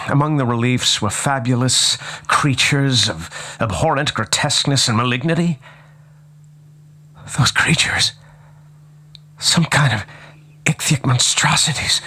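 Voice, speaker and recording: A man's voice: mid-range voice, measured pace, long pauses, pushed and bright. A man playing an earnest, curious young traveller in a 1930s style radio horror play. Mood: awed, uneasy.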